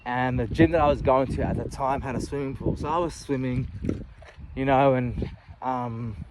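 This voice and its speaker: A man in his late thirties talking candidly about his life in a reflective, halting way, often restarting and adding "you know". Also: mid-range voice, fairly even intonation, background noise. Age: 30s. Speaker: vlogger. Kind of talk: personal reflective monologue.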